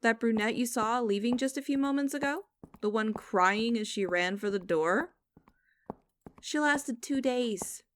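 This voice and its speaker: A woman playing a stern, mocking young company boss in a scripted audio roleplay, speaking in a formal, deliberate and condescending way. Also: on the high side, slight background noise, close to an everyday voice. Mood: stern, commanding.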